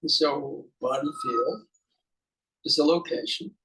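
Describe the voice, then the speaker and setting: A man leading an unscripted guided meditation as a teacher, musing haltingly in short fragments with pauses. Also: on the high side, bright, forceful tone, poor audio.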